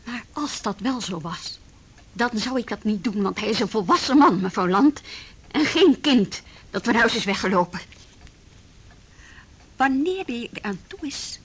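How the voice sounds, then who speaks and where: Proud young daughter-in-law, highish husky voice, indignant, pointed, polite wording, radio drama. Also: female voice, lively intonation, measured pace, long pauses, heavily acted. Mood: guarded, firm. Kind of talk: Dutch radio play acting.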